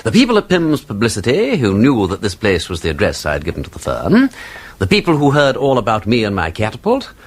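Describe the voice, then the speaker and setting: An actor playing a witty, talkative aristocratic sleuth in a radio drama, with an upper-class English accent and a playfully pedantic, theatrical manner. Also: male voice, lowish voice, wildly swooping pitch, brisk, breathy edge, heavily acted. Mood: excited speculation. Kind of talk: British radio drama acting.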